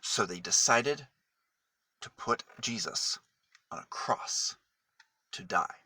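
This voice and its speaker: A man reads a story to children with very lively, swooping intonation. He takes it slowly, phrase by phrase, pausing for emphasis. His voice is bright and the sound is close and dry. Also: lowish voice, breathy edge, no echo. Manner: dramatic, deliberate phrasing with emphatic pauses. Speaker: storyteller reading a children's book. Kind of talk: expressive read-aloud story for children.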